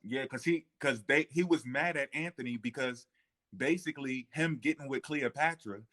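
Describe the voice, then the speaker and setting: A man with a medium-pitched voice speaks in a casual, unscripted conversation. His delivery is informal and halting, as he thinks aloud and corrects himself. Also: pushed and bright. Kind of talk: casual history discussion.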